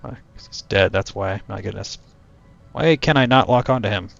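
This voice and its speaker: A young man streaming a flight game, chatting to his viewers in a low-key, monotone voice. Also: moderate pace, gravelly, no room sound. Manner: low-key, talks to chat while playing. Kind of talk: casual gaming stream chat. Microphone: cheap headset mic. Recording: dull headset mic with game music.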